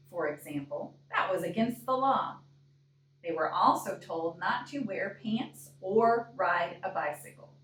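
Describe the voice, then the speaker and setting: A woman reading a picture book aloud in an expressive storytime style, deliberate and often putting questions to young listeners. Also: mid-range voice. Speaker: librarian reading a storybook to children. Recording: echoey room, distant microphone.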